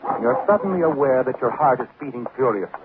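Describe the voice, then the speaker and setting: A man's voice: mid-range voice, animated, fast, lightly acted. An actor playing an insinuating, suspenseful male narrator of a 1940s radio mystery. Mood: ominous, insinuating. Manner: insinuating, suspenseful second-person address. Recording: tinny, noisy 1940s radio recording.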